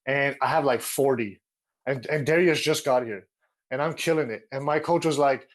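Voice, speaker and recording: A young man from Los Angeles, a guest on a video call, telling stories in a relaxed way with plenty of "uh"s. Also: medium pitch, moderate pace. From Los Angeles, USA. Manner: relaxed storytelling, with frequent fillers. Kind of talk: casual interview. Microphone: video call with earphone mic.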